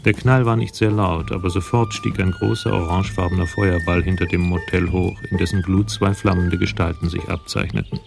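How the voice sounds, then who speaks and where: A sober crime story narrator with a low, heavily creaking voice. He tells events matter-of-factly in scripted radio drama style, over clearly audible music. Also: steady tone, fairly quick, few pauses. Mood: sober narration.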